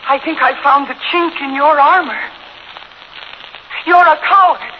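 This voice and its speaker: A woman's voice: sits high, unhurried. An actress playing a frightened but defiant mother held hostage, with dramatic, pointed delivery in a 1940s radio thriller. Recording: dull 1940s radio recording, faint noise.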